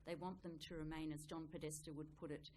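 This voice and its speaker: Female political panellist, deep register, quiet live room pickup with slight noise. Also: level tone, quick pace. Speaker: conference panellist. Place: indoor conference session.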